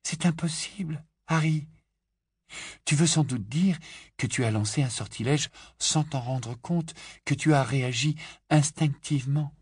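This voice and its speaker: A clever, gentle teenage girl, as a male reader puts her on, spoken softly and held back. Her voice sits a little higher than his own, heard dry and close. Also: a little slow, slightly rough, no room sound. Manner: soft, gentle.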